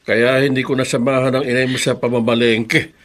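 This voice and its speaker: A man's voice: mid-range voice, flat delivery, fairly quick, a bit bright, natural voice. An actor in a radio drama playing a concerned, plain-spoken older father with a hesitant, folksy, advising manner.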